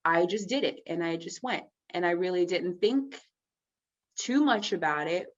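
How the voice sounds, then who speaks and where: A woman from Texas with a lowish voice talks through a casual interview, reflective and relaxed, leaning on "like" and "um". Her audio sounds dull and muffled, like a lo-fi recording. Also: normal speed. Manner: reflective, relaxed, many "like" and "um" fillers. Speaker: actress guest.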